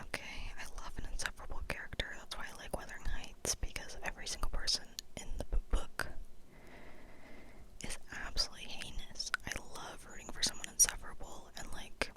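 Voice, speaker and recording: A woman's voice: fairly quick, low-quality recording. A woman whispering breathily in a playful, mock-serious ASMR monologue, casual and rambling, often checking in with the listener. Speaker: ASMR video host.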